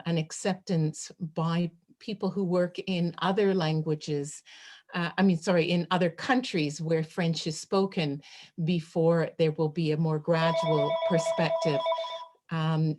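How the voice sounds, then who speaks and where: A woman speaking unscripted at an academic webinar over a video call, pausing often with fillers and restarts. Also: low-pitched, steady tone, a little mellow. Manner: hesitant, many fillers and restarts. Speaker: chapter author speaking at a webinar.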